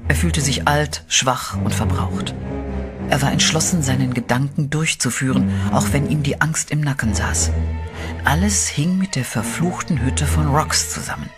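A man narrates a horror radio play in a measured, dark storytelling way. His voice sits at a middle pitch. Clear music runs behind him throughout. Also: expressive.

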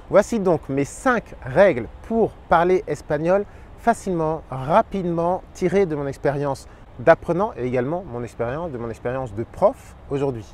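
An energetic man in his thirties talks to camera in a fairly high voice, explaining his tips as a Spanish teacher. He is recorded on a lapel mic outdoors, with faint traffic and park ambience behind him. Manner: energetic, expressive, gestures with his hands. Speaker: Spanish teacher and channel host.

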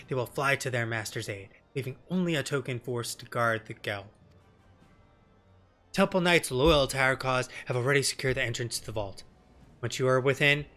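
A man voicing a stern woman knight commander in a fantasy game, speaking formally and with measured authority. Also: mid-range voice, sharp tone, natural voice. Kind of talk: game dialogue read in character.

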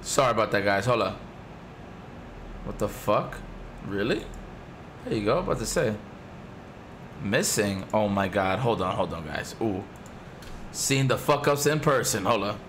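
A man in his thirties with a raspy voice, hosting a stream and commenting excitedly over old fighting game footage. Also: on the low side, unhurried, pauses often, noisy background. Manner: excited, swears a lot, repeats himself. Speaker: stream host.

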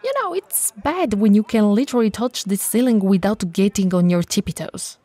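A woman playing a tall, friendly, teasing bento shop owner in an intimate ASMR roleplay, with a breathy voice and a casual, chatty manner. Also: medium pitch, normal speed, dark tone, no echo, close to an everyday voice. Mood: relaxed, chatty. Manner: casual, playful, hesitant and chatty.

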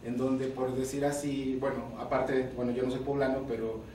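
A man from the port of Veracruz, an activist, speaks at a panel in a measured way, often pausing on fillers. His voice has a middle pitch. It echoes strongly across a conference room, with the mic far away. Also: little pitch movement, warm tone, slight background noise. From port of Veracruz, Mexico. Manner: hesitant, many fillers, measured. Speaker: activist, founder of a group. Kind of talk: panel talk. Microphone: table microphone, recorded by far camera.

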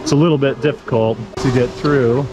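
A man's voice: mid-range voice, steady tone, brisk, poor audio. An American man in his thirties hosting a casual hiking vlog, talking as he goes outdoors. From United States. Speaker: vlog host, hiking guide. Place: outdoors on forest trail by waterfalls. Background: clear music.

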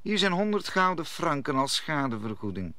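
A man's voice: on the high side, very animated, moderate pace, bright, forceful tone, no room sound. A man playing a scheming, authoritative cardinal in a radio play, formal and commanding. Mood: smooth, calculating.

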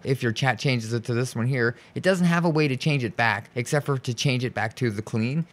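A man hosting a software tutorial, explaining each step in an informal, instructional way. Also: on the high side. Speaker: tutorial host. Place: indoors, voice-over on a screen recording.

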